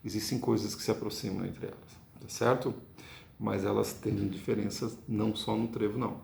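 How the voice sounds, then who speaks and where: A man's voice: lowish voice, flat delivery, moderate pace, creaky, darkish tone, a little hiss or hum. A man with a Brazilian Portuguese accent teaching card reading in a patient, explanatory way, often checking in with the listener as he goes. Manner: patient, ends points with tag questions. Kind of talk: explanatory lesson. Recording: echoey room.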